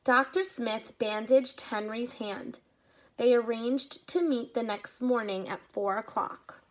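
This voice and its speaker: A woman teacher reads a children's picture book aloud, taking her time over each phrase. Her voice sits a little high. She pauses now and then for brief asides that explain the story to young listeners. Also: poor audio. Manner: slow, expressive reading with short asides explaining to children.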